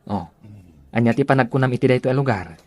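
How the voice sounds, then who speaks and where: A man's voice: mid-range voice, expressive, quick pace, darkish tone, dry recording, close to an everyday voice. Doting young boyfriend, played by a man. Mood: attentive. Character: doting, eager young boyfriend, sweet and attentive. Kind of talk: Ilocano radio drama acting.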